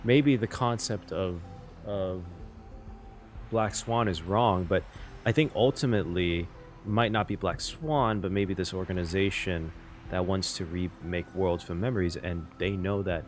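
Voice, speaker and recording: A man giving casual reaction commentary as a game streamer, informal and prone to swearing. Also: deep voice.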